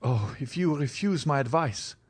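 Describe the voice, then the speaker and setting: A man reading an audiobook, voicing a passionate young man who speaks in an earnest, measured and bitter way. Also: medium pitch, expressive, breathy edge, pitched above the performer's own voice. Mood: urgent.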